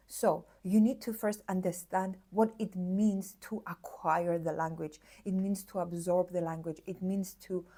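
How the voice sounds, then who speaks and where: Earnest woman teaching to camera. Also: mid-range voice. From Cyprus. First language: Greek. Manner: animated, earnest and encouraging, with rhetorical questions. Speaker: language teacher. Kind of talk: explaining to camera.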